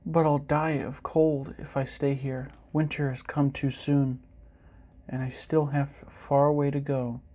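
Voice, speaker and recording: A man's voice: medium pitch, level tone, unhurried, dark tone, lightly acted. A man voicing a small, tired female bluebird in a storybook, plaintive and weak. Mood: sad.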